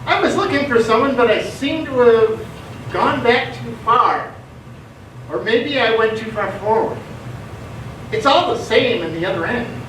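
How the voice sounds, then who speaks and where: A man's voice: very high-pitched, a little slow, a bit bright. An older man playing a mild, rambling, unassuming Irish vampire time traveler in live radio theatre. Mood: affable, easygoing. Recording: very echoey hall, distant mic, faint noise.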